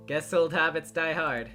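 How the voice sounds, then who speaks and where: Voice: medium pitch, pushed and bright, voice pushed up, full character voice. A performer voicing a chipper, airheaded teenage girl in a visual novel, with a breezy, self-deprecating manner.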